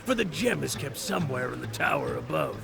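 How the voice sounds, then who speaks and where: A fat, boastful kidnapper rogue with a very gravelly, crackling voice that swoops up and down. He blusters with lecherous, mocking theatricality in a fantasy audio drama, over prominent music. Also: mid-range voice, sing-song, fairly quick. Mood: scornful mocking.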